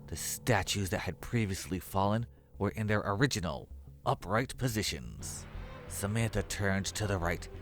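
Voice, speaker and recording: Low-voiced man narrating horror over music. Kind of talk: scripted horror story narration.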